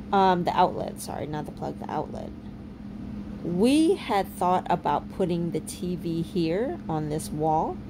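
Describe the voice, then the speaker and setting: Low slow-talking woman vlogger. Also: noisy background. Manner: relaxed, many ums and uhs. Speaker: home renovation vlogger. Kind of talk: casual voice-over narration.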